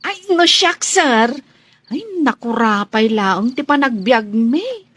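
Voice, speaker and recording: A woman playing a friendly young housemaid in a radio drama, polite and expressive. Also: on the high side, slow pace, slight background noise, heavily acted. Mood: shy, humble. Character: friendly young housemaid, hard-working eldest sister.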